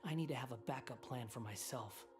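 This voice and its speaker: Brief: actor as a cynical young outcast, mid-pitched, smooth and mocking, game cutscene. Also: male voice, a little fast, over music, natural voice. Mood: sardonic. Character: sardonic young man, a fallen former enforcer. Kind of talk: video game voice acting.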